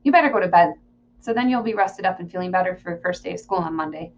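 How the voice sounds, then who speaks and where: A woman's voice: fairly low pitch, fast, close to an everyday voice. A woman voicing a nosy, blunt, demanding elderly grandmother with folksy, prying talk in an audiobook. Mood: bossy advice. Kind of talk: audiobook character voice. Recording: muffled, clearly noisy recording with hum.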